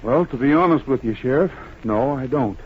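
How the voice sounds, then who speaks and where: An actor playing a calm, honest cowboy hero in an old radio Western, polite, plain-spoken and earnest. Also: male voice, medium pitch, normal speed, slight background noise, lightly acted. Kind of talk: 1950s radio Western acting. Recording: muffled old-time radio recording.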